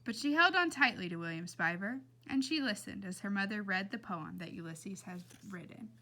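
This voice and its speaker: A woman reading a children's novel aloud as an expressive storyteller. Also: medium pitch, normal speed. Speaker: reader.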